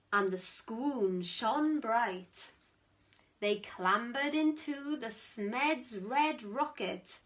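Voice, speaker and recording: A woman reading a rhyming picture book aloud to children in a theatrical, deliberate way with strong emphasis. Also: mid-range voice, a bit bright. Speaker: storytime reader, teacher.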